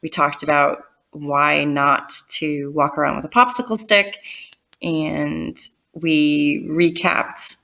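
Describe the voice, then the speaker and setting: Low-voiced woman presenter in an echoey room. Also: slow pace, vocal fry. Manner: expressive, with conversational asides and questions to listeners. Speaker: reading tutor and read-aloud presenter. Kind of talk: read-aloud storytelling and instructional explanation. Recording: roomy echo, distant microphone.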